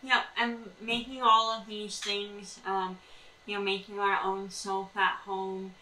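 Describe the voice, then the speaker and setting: Bright-voiced American woman in an echoey room. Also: medium pitch, little pitch movement, slow pace. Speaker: homesteading vlog co-host. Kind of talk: explanatory chat to camera with tips. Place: indoors at a table in a small sunlit room by windows. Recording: echoey room, distant mic, faint noise.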